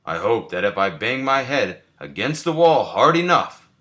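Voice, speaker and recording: A man voicing an earnest, helpful, slightly hapless male toad from a storybook, speaking deliberately. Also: mid-range voice, big pitch swings, fairly bright tone.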